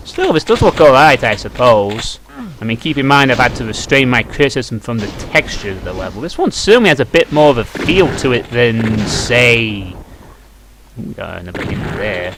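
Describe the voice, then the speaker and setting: A British man giving casual, off-the-cuff commentary as he plays a game, wry and grumbling. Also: medium pitch. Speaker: let's play commentator. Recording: noisy home recording, game sound behind. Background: shooter game gunfire and clicks.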